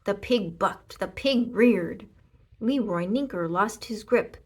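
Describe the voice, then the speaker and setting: Lady reading a kids book out loud, lower husky voice, very expressive storytime style. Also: slow. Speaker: storybook reader. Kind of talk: expressive children's read-aloud narration.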